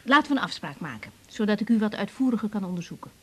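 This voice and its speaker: An actress playing a caring young female doctor in a radio drama, speaking haltingly and naturally, often repeating words. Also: medium pitch, big pitch swings, fairly bright tone, breathy edge. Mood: reassuring.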